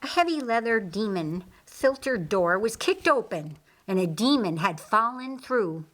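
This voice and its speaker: A woman reading a fiction audiobook as narrator, in a deliberate, measured manner. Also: medium pitch, very animated, fairly bright tone. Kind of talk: scripted narration of a fiction story.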